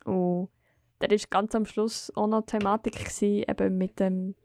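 A woman's voice: medium pitch, flat delivery, moderate pace, creaky, darkish tone, no room sound, studio quality. A woman co-hosting a podcast, talking casually and thinking aloud as she goes. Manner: conversational, agreeing, thinking aloud. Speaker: podcast co-host. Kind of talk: casual discussion.

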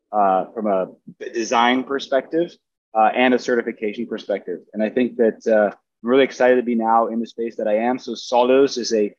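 A man from Brazil speaking as a remote panelist on a video call, talking in a halting way with many fillers. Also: fairly low pitch, darkish tone, no echo. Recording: dry, dull lo-fi call audio.

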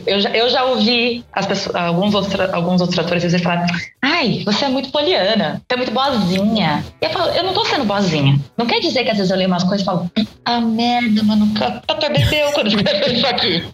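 A Brazilian woman with a Brazilian Portuguese accent, a translator and quality reviewer, talking in a casual interview as an animated storyteller. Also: medium pitch, fast talker, muffled recording, faint music behind. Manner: animated, chatty storyteller who laughs often. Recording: roomy echo with faint ambience.

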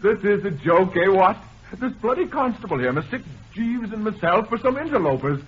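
A man's voice: high voice, animated, moderate pace, a bit bright, slightly rough, full character voice. A man playing a flustered, stammering bluffer with mock-genteel manners in an old radio comedy. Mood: bluffing, genteel. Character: flustered suitor bluffing his way out, posing as chauffeur. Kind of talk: 1930s radio comedy acting. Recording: muffled, hissy old radio broadcast.

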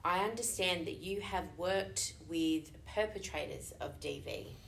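A woman hosting a podcast in a very low voice with a gravelly edge. She reads her introduction and asks her questions in a measured, formal way. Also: a bit bright, slight background noise, poor audio. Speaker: podcast host.

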